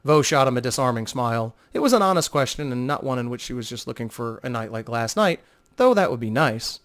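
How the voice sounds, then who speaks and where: A man's voice: mid-range voice, animated, a little fast, fairly bright tone. A man narrating a fantasy tale as a dramatic scripted audiobook reading.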